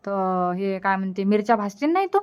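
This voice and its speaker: A woman's voice: medium pitch, animated, brisk, a little mellow, smooth, close to an everyday voice. A woman voicing a practical village mother in a cartoon comedy, giving advice matter-of-factly in a Varhadi Marathi accent. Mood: instructing. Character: village mother, practical and advising family elder. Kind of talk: colloquial cartoon comedy dialogue.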